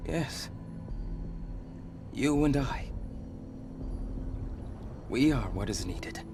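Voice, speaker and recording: Ancient monster leader, male, grave, measured, earnest, mid-pitched, game cutscene, prominent music. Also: lively intonation. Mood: persuasive. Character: solemn, persuasive ancient monster leader, once human, male. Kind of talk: dramatic video game cutscene acting. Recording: background music under the voice.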